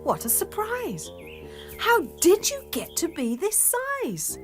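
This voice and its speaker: A woman voicing a frightened little boy lost in a dark wood, with a whimpery, pleading manner. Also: very high-pitched, huge pitch swings, slow pace, over music, pitched above the performer's own voice, heavily acted. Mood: bewildered.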